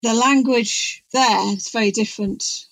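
A middle-aged woman, explaining things informally in an animated, emphatic, digressive way. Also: a little high, a little slow, no room sound. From North Wales. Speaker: guest expert on Welsh language and poetry.

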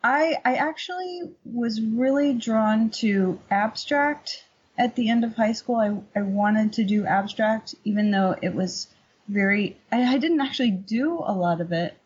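A middle-aged woman with a husky voice, talking candidly and informally as an interview guest, rambling a little. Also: on the high side, animated, a little slow, darkish tone, muffled recording. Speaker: painter, podcast guest. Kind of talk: casual interview chat.

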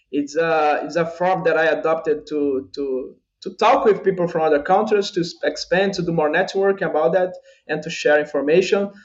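A Brazilian man whose first language is Portuguese, a podcast guest answering in halting English, repeating words as he searches for them. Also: a little high, muffled recording. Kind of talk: casual interview answers.

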